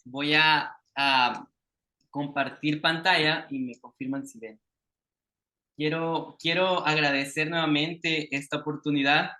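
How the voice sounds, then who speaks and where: A man's voice: on the high side, steady tone, takes it slowly, sharp tone. A man from El Salvador with a Salvadoran Spanish accent, giving a formal, earnest prepared presentation as an invited speaker over a video call.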